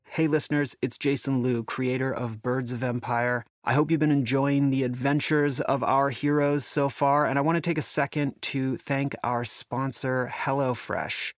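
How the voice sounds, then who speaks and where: A man's voice: mid-range voice, steady tone, moderate pace, hardly any pauses, no room sound, clean studio sound. A man, the creator of the series, speaking directly to listeners in a scripted podcast ad read. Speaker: series creator reading a sponsor message.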